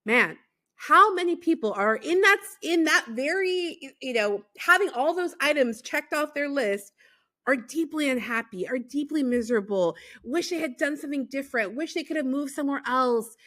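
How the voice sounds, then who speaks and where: A woman's voice: sits high, expressive, normal speed, bright, forceful tone. A woman from Los Angeles hosting a podcast, chatting animatedly with lots of fillers and laughter. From Los Angeles, USA. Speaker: podcast host. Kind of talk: casual interview chat.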